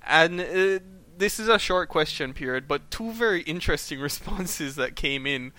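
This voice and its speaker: A man's voice: fairly high pitch, lively intonation, normal speed, pushed and bright, no room sound. A man hosting a movie podcast, enthusiastic and ready to argue, reading out listener responses. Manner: enthusiastic, argumentative. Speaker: podcast host. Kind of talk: casual chat and listener questions.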